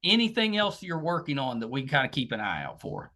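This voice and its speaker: Older american guy doing a chatty interview, bright voice, really animated, friendly and encouraging. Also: a little high, quick pace. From American South. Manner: encouraging, chummy. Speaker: podcast host and interviewer.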